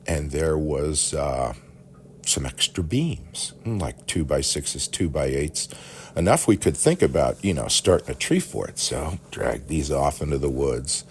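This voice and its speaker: A man telling a rambling personal story in a halting, folksy, animated way, with a gravelly, husky voice. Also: a bass voice, slight background noise.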